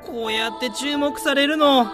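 A woman voicing a shy, nervous schoolboy in a radio drama, with a halting, hesitant delivery. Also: high-pitched, natural voice. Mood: anxious. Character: shy, nervous schoolboy frozen before an audience. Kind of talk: radio drama child acting. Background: music.

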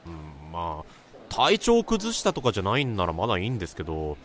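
A man playing a puzzled, concerned junior co-worker in a radio drama, with a polite, inquisitive and expressive delivery. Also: sits low, fairly quick. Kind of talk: conversational radio drama acting.

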